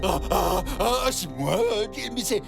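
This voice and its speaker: An actor voicing a gruff, indignant, proud male dog in an animated cartoon. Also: medium pitch, slow, exaggerated voice. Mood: astonished. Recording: music under the dialogue.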